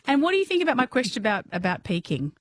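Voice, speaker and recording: Lively woman hosting a radio quiz. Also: on the high side, racing, dry recording, studio quality. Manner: chatty, laughs often, encouraging. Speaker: radio host.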